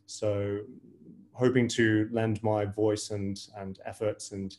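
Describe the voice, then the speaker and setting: A man's voice: lowish voice, flat delivery, slow, a little mellow. A middle-aged man introducing himself as an investor on a remote panel. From Hawaii, United States.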